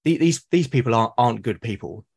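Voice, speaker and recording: A man's voice: mid-range voice, animated, fast talker, creaky, a little mellow, breathy edge. A British man with a mid-range voice chats as a podcast guest. He talks thoughtfully and at length, and often circles back to rephrase what he means. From Britain. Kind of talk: casual conversation about solo roleplaying.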